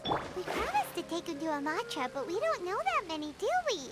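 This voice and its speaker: Squeaky chirpy little fairy sidekick. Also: female voice, big pitch swings, brisk, loud music behind the voice. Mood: earnest. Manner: chirpy, squeaky cartoon delivery. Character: small childlike fairy companion, chirpy, talks in third person. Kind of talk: video game voice acting.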